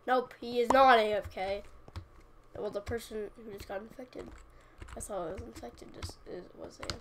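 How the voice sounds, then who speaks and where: A woman's voice: on the high side, moderate pace. Young woman chatting over a game. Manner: chatty, self-mocking, playful outbursts. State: sniffly from a cold. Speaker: gaming channel host. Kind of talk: casual gameplay commentary. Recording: audible background noise and keyboard clicks.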